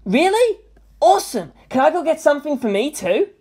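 A woman voicing an eager, polite teenage boy with an earnest, excitable manner. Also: high voice, moderate pace, voice pushed up. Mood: excited.

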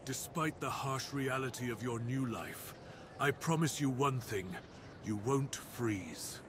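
Casting: male actor as commanding, brooding wolf beast, mid-pitched, formal and deliberate, for ASMR fantasy drama. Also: background noise, close to an everyday voice. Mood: solemn, reassuring. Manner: solemn, deliberate, theatrical delivery with formal wording. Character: brooding cursed dire wolf beast-man, commanding castle master. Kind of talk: ASMR roleplay, dramatic monologue.

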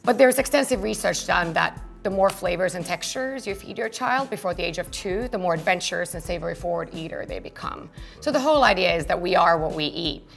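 A fairly low-voiced woman originally from Finland speaks earnestly and fluently, explaining her company in an interview. She sits in an open-plan office, miked close with a lapel mic, and soft music sits faintly underneath her. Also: animated, a little fast, vocal fry. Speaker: co-founder and guest. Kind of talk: business interview conversation.

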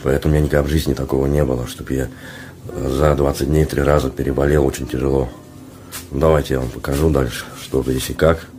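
A man with an extremely low, flat voice talks casually to his vlog viewers. He is sick with a sore throat and his voice is worn out, so he speaks in halting phrases. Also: a little hiss or hum. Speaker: vlogger. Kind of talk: casual vlog talk to camera. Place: small dormitory room, indoors. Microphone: handheld phone at arm's length.

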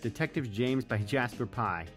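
Young guy reacting to a video in his room, casual, normal pitch, bit of music behind. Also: normal speed, a bit bright. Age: young adult. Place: bedroom indoors.

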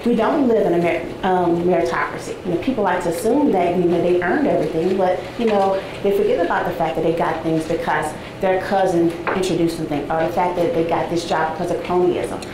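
A woman in her forties giving an academic talk to a seated audience, soft-spoken and conversational with frequent ums, heard from a distant camera in a large seminar room. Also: lowish voice, fairly quick, hardly any pauses. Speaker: guest lecturer. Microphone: far camera, no visible mic. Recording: echoey room, noisy background.

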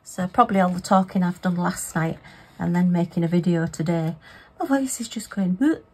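A middle-aged woman talking casually to herself while crafting, her voice going hoarse. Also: lowish voice, moderate pace, darkish tone. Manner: chatty, talks to herself. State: losing her voice. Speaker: craft vlogger.

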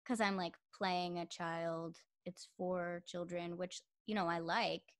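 A woman's voice: fairly even intonation, slow. A young woman interviewing a guest over a video call, chatty and full of fillers, with a youthful-sounding voice. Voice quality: says she sounds young with a high voice.

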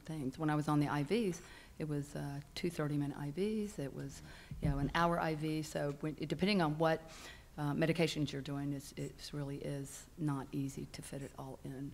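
Low-voiced woman, hesitant panelist. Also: steady tone, normal speed, few pauses, darkish tone, slight background noise. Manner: hesitant, frequent ums, earnest. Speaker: patient panelist and support group leader. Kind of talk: conference panel discussion. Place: conference hall stage panel. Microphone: stand microphone.

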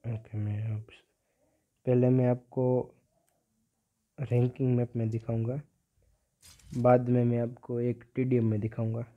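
Teenage male, casual gaming commentary, monotone delivery, narrow lo-fi phone sound. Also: mid-range voice, measured pace, pauses often. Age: teenager, about 15. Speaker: gaming video creator. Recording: dull, lo-fi phone-like audio.

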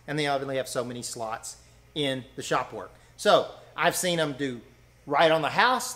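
A man explains things to camera in a plain-spoken, folksy way. His voice sits a bit higher than most men's, and he hammers home short instructions one after another. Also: fairly bright tone. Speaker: master plumber teaching exam prep. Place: indoor training room in front of a whiteboard.

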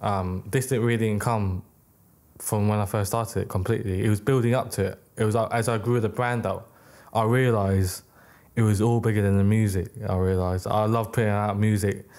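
Low-voiced young British-born man, rambling. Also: steady tone, normal speed, a little mellow. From United Kingdom. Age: young adult. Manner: rambling, many restarts and self-corrections. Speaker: guest, record label founder. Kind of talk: casual interview. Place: small podcast studio. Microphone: boom mic on arm, headphones.

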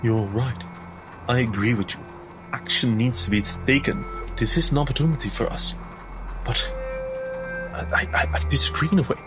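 Male player as gentle priest adventurer: principled, slightly hesitant, mid-pitched, music behind. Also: moderate pace, pauses often, natural voice. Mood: earnest. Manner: earnest, moralizing, hesitant. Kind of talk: in-character tabletop roleplay.

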